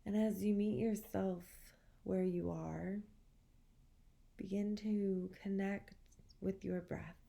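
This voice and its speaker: A woman leading a guided meditation as an instructor, speaking in a soothing, gentle, measured way with long pauses. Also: mid-range voice, steady tone, gravelly.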